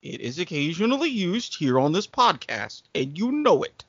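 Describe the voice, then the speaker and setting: Man, podcast co-host, highly animated intonation, emphatic, wordy, salesman-like, casual, lo-fi dry sound. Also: normal speed, slightly rough, dry recording. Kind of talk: casual banter and ad-libbed sponsor read.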